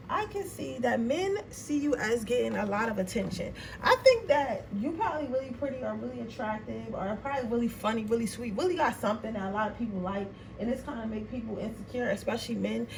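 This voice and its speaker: A young woman with a raspy, gravelly voice talks through a tarot reading in a loose, rambling, slangy way. Her voice sits somewhat high. She is a bit away from the mic, with steady noise in the room. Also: far from the mic. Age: 20s. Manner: chatty, rambling and informal, with slang. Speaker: tarot reader. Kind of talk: casual tarot reading chat.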